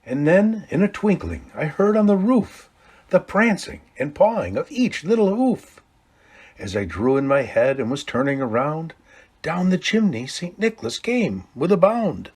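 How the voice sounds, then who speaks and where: Husky guy doing a storybook read-aloud, voice jumps all over, hushed then booming. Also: very animated, measured pace. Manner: dramatic swings from hushed low lines to loud bright calls. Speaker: storyteller reading a Christmas poem aloud.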